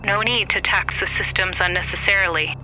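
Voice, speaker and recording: A man playing a frightened, haunted deep-sea crew survivor in an audio horror drama, speaking in halting fragments full of dread. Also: fairly even intonation, pushed and bright, soft background music, poor audio. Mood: grim, haunted.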